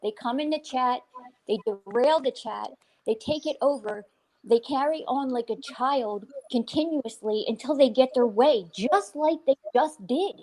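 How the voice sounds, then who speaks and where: A woman's voice: sits high, unhurried, warm tone, rough recording. A woman on a live stream panel, insistent and pushing back firmly.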